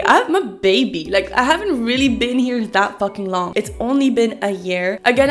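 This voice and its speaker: A young woman streamer with an American accent that keeps a faint French edge, talking casually and candidly straight into her phone camera. She is self-deprecating and drops the odd swear word, her voice at an ordinary middle pitch, with soft music running underneath. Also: gravelly. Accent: American with a slight French tinge. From France. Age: 20s. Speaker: streamer and content creator. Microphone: iPhone camera mic.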